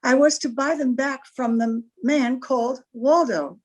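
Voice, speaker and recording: A woman's voice: sits high, fairly even intonation, measured pace. An actress playing a mysterious, anxious married lady in an old-time radio crime drama, speaking hesitantly. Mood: guarded. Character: mysterious, frightened, refined married woman.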